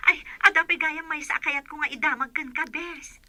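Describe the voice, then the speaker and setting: An eager young bride-to-be, high and very bright in voice. She has a Filipino accent and chats bubbly in radio drama style, recorded close and dry. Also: moderate pace, dry recording. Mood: eager, excited. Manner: chatty, eager.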